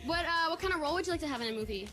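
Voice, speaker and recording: A woman co-hosting live TV among fans, loud and bubbly. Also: extremely high pitch, expressive, very fast. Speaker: TV co-host. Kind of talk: live TV interview with crowd. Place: live TV set with crowd. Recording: loud crowd and music behind.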